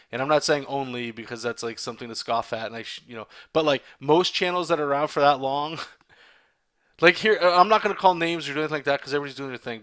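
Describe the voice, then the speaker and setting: A man's voice: medium pitch, a bit bright, dry recording. A man with a Canadian accent, chatting candidly with his livestream viewers while inking a comic, rambling and restarting his sentences. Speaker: artist streaming a drawing session.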